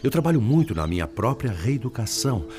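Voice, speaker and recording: An actor with a Brazilian accent playing a weary, self-doubting spirit in a radio drama, earnest and melancholy in delivery. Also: male voice, medium pitch, big pitch swings, fairly quick, a little mellow, soft background music, lightly acted. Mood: troubled, frustrated.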